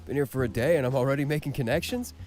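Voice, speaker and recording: A man's voice: medium pitch, rapid-fire, darkish tone, faint music behind, close to an everyday voice. A man voicing a friendly, easygoing young male newcomer in a visual novel. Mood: easygoing, curious.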